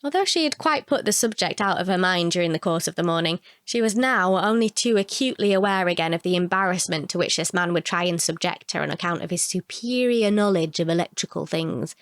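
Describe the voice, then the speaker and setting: A woman reading a short story as a narrator, in an expressive, measured storytelling manner. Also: on the low side, no room sound, clean studio sound.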